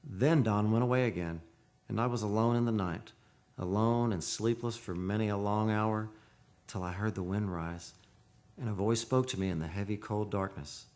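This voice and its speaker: A man's voice: lowish voice, moderate pace, darkish tone, close to an everyday voice. An actor playing an earnest, lovestruck man who narrates a haunted romance in poetic radio-play style. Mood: haunted, somber. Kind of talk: radio play acting and dramatic first-person narration. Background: music.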